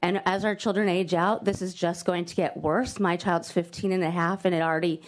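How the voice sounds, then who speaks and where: A woman, a paralegal and parent, giving a brisk, confident public comment. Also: on the low side, fairly even intonation. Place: state hearing room.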